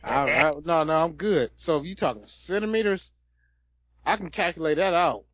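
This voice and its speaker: Fairly high, lively man, laid-back co-host. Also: moderate pace, dull audio. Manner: laid-back, laughing, stammers and restarts. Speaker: podcast co-host. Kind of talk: casual joking chat.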